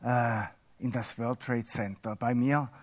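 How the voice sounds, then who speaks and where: A middle-aged Swiss man whose first language is Swiss German, giving a chatty, halting lay sermon with self-mocking humor. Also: mid-range voice, steady tone. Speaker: lay preacher.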